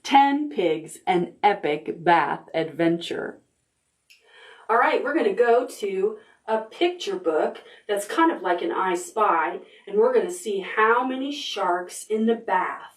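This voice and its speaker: Slow, gentle storytime librarian woman. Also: medium pitch, animated. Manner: asks the children questions while reading; gentle, deliberate storytime delivery. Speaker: children's librarian. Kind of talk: reading picture books aloud to preschoolers.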